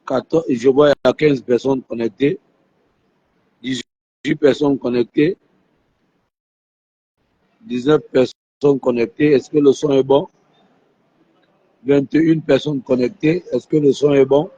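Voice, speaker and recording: Hoarse man with a flu. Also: mid-range voice, steady tone, a little slow, pauses often, mellow. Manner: talks to viewers, repeats himself. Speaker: live stream host. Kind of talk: live phone interview. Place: airport. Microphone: phone. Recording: muffled phone audio.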